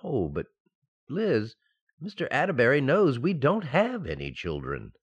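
A man acts out a sensible banker husband in a radio comedy. He explains with strained patience and mock sternness, his pitch swinging dramatically up and down. The recording is a little dull. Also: huge pitch swings, unhurried, breathy edge, exaggerated voice. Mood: exasperated. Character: exasperated, sensible banker husband in a domestic sitcom. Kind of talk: old-time radio sitcom acting.

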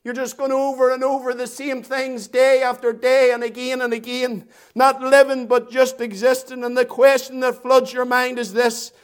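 A man's voice: very high-pitched, little pitch movement, clear, resonant tone. A man preaching an impassioned evangelistic sermon, repeating phrases emphatically and drawing them out for dramatic weight. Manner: emphatic, repetitive, dramatic delivery with drawn-out phrases.

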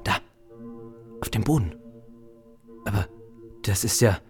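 Casting: male actor as jittery, gruff village errand runner, very animated pitch, comic radio play, music behind. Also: slow pace. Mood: casual. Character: Lutz, nervous, gruff young village errand man. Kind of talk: German comic audio drama.

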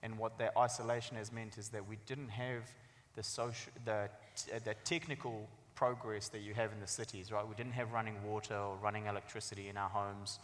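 A man's voice: lowish voice, little pitch movement, fairly quick, hardly any pauses, a bit bright, slight background noise. A man, giving an articulate, storytelling talk about his own life as a guest author. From Afghanistan, later New Zealand. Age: 30s. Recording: echoey hall with long reverb.

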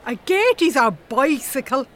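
An actress playing a blunt, chatty, gossipy Irish animal-rights activist with an earthy colloquial manner in a comedy audio drama. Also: female voice, sits high, very animated, unhurried, a bit bright, full character voice. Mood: teasing, gossipy.

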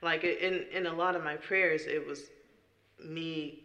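A woman's voice: low voice, steady tone, takes it slowly, a bit bright, reverberant, lo-fi sound. A woman who sings in worship, giving an earnest, emphatic personal testimony in an interview. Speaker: worship singer.

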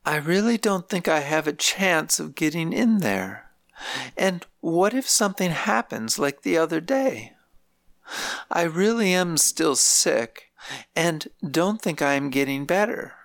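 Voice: mid-range voice, animated, unhurried, no echo, studio quality, voice pushed up. A narrator voicing a frail elderly grandmother in a nursing home, speaking in a plaintive, complaining way. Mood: weary complaint. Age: older. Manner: plaintive, soft-spoken complaining.

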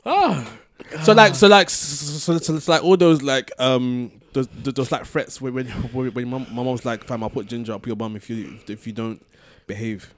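A man hosting a casual podcast, excitable and slangy, often repeating words as he bantering with friends. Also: mid-range voice, normal speed, few pauses. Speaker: podcast host.

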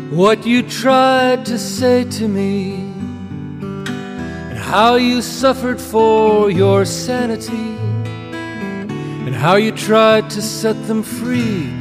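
A woman's voice: on the high side, extremely slow, pauses often. A woman singing a folk ballad, accompanied by plucked guitar. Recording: music recording with plucked guitar. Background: acoustic guitar accompaniment.

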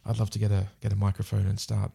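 A man's voice: lowish voice, monotone, quick pace, gravelly, warm tone, slightly rough. A man with a New Zealand accent, a podcast guest talking thoughtfully in casual conversation, often restarting and repeating words. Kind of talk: casual interview chat.

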